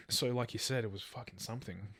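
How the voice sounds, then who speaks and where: Low-voiced man narrating true crime, close dry sound. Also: a little fast, fairly bright tone, husky, dry recording. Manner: stumbles over wording and corrects himself, swears casually. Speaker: true crime podcast co-host. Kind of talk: semi-scripted case narration with casual chat.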